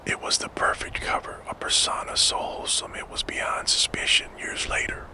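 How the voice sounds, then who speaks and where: A man tells a true crime story entirely in a breathy, unvoiced whisper, close and hushed. He paces each line slowly and deliberately, the way a soft-spoken narrator reads for sleep.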